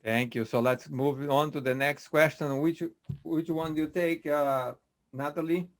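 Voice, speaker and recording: A man's voice: on the high side, fairly even intonation. Man moderating a quiz over a call. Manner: measured, with frequent fillers. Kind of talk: hosting an online quiz.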